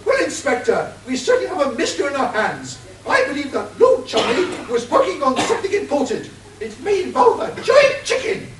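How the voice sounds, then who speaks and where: A woman's voice: wildly swooping pitch, brisk. A woman playing a dim-witted titled lady detective in an amateur radio play, with a pompous, blustering manner. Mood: haughty. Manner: pompous, blustering, swooping pitch. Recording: roomy, distant, faint hiss.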